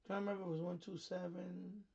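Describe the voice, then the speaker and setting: High-pitched halting man, off the mic. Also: flat delivery, gravelly, mellow, husky, highs cut off. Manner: halting, repetitive muttering to himself. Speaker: live stream host. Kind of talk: casual live commentary while scanning radio bands. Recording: hissy, distant microphone.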